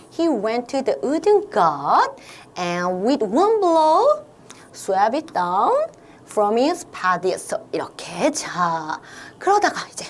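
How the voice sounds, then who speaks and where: Lively Korean woman teaching English. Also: a little high. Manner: lively, animated, with slow read-aloud English sentences. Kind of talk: explaining an Aesop fable line by line.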